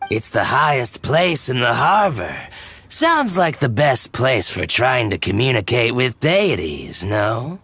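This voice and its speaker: A man's voice: mid-range voice, very animated, slow, a bit bright, a little hiss or hum, full character voice. An actor playing a genial, shrewd businessman in a video game, with a polished, expansive, slightly pompous manner. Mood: helpful, amused. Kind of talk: video game character acting. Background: game music.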